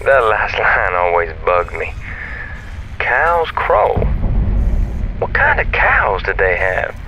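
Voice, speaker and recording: An actor playing an easygoing, quirky space comms monitor, folksy and rambling, over a radio link in an audio drama. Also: male voice, fairly low pitch, wildly swooping pitch, takes it slowly, noisy background, heavily acted. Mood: playful, musing. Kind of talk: scripted sci-fi audio drama acting.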